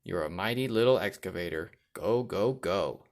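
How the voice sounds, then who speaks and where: Male storyteller, low voice, reading a picture book to a young child with rhythmic, deliberate emphasis. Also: expressive. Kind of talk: read-aloud children's storytelling.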